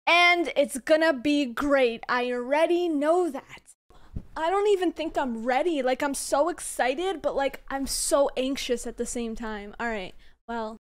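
A young adult woman giving casual, excited reaction commentary into a close desk microphone at home. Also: sits high, measured pace. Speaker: reaction video host. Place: small room at home. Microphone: close boom-arm desk microphone.

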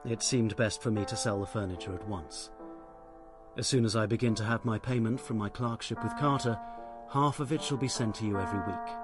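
An actor playing a proud, impoverished writer in a period radio drama, English accent, formal and stiff with wounded dignity. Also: male voice, fairly low pitch, a little fast, music in the background, natural voice. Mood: cold, formal.

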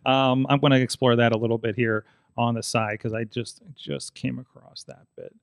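A man in his forties hosts a casual tech podcast, wandering through his thoughts with plenty of ums and restarts. He speaks at an ordinary middle pitch into a close studio mic. The room around him is dead and dry. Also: little pitch movement, moderate pace, clean tone, no echo. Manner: rambling, many fillers and restarts. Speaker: podcast host. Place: small studio with foam panels. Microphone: studio mic on boom arm, headphones.

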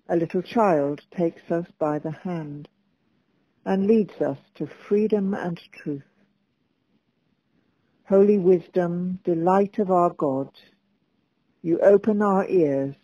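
A woman priest leading prayers and a scripture reading in a slow, calm, measured way. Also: lowish voice, dry recording, lo-fi sound.

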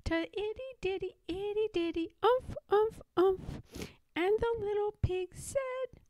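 Tiny high-pitched perky girl piglet. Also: slow, a little mellow, no echo. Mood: singing sweetly. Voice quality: small, grunty, sing-song. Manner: cheerful, decided, demanding. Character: perky bold little young girl pig.

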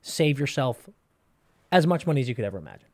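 A man in his thirties co-hosting a hockey podcast, reading a sponsor spot and chatting in an emphatic, pumped-up way on a studio boom mic. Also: sing-song, quick pace, a little mellow, no echo. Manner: hyped, emphatic pitchman delivery. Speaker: podcast co-host. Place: studio with brick and wood walls. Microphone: boom-arm podcast mic.

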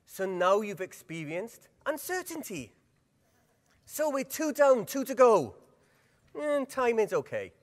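A Welsh man in middle age presents a workshop at a conference, his voice sitting a little high. He speaks in a lively, engaging way and keeps asking the audience questions. His voice rings out with the long reverb of a large hall. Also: slow.

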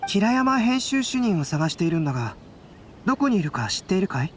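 An actor playing a calm, unflappable young male artist who explains things in a composed, reasoned way in a game cutscene. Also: high voice, brisk, slight background noise. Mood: composed, analytical. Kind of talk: Japanese game cutscene acting.

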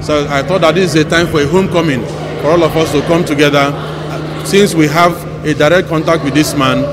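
A middle-aged Nigerian man answers in an interview, speaking as a director. His voice is fairly high, with clear room noise behind it. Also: steady tone, brisk. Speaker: stage director and lecturer. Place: bare indoor backstage room. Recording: noisy room ambience.

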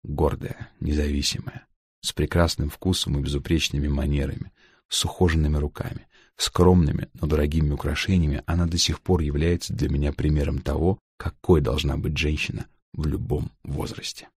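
A man reading a humorous literary story as an audiobook narrator, with a gravelly voice and wry, dry irony. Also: rumbling low, little pitch movement, brisk, darkish tone, no room sound.

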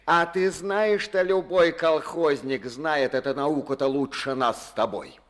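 A man's voice: a little high, unhurried, close to an everyday voice. An actor playing a domineering, folksy Soviet party boss who bosses and mocks his deputy in a radio drama. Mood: scornful. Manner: bossy, blustering, folksy. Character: blustering domineering male party district boss.

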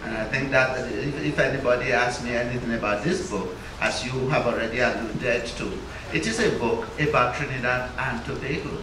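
A man interviewing an author onstage in front of a large audience, pausing often with "uh" and "um". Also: mid-range voice. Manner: hesitant, full of "uh" and "um". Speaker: interviewer at a book launch. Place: stage before a large indoor audience. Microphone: handheld mic. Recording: echoey hall, distant from the mic, with noise.

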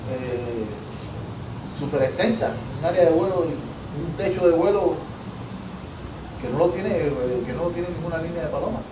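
A Cuban man in his thirties sits outdoors on a patio, talking emphatically and often restarting his phrases. His voice sits a little high. He is picked up from a distance by the camera, with an echoey sound and clear background noise. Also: normal speed. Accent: Cuban Spanish. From Cuba. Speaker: pigeon breeder guest. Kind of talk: casual interview. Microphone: far camera.

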